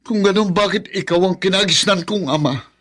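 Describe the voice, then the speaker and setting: An actor playing a bitter, proud, wounded young adult son in a Filipino radio drama, curt and accusing. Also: male voice, high voice, a little fast, fairly bright tone. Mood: agitated.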